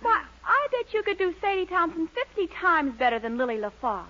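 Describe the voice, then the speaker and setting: High, bright, gushing young sweetheart. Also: female voice, big pitch swings, fairly quick, heavily acted. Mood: flattering, coaxing. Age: young adult. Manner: gushing, exclamatory. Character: eager, gushing teenage daughter and sweetheart. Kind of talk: 1940s radio comedy acting. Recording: muffled, noisy 1940s radio recording.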